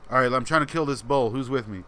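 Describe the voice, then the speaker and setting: Laid-back man with a bright voice. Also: mid-range voice, fast talker. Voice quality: soothing voice. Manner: laid-back, rambling, answers chat comments. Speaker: channel host reading live chat. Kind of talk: casual livestream chat.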